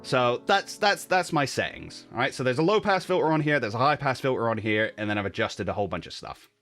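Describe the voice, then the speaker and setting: A man's voice: medium pitch, expressive, fairly quick, fairly bright tone, faint music behind. A man in a casual tutorial, explaining his streaming audio setup in a chatty way, often checking in with "okay?" and correcting himself. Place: home streaming desk. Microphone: studio mic with noise gate and compressor.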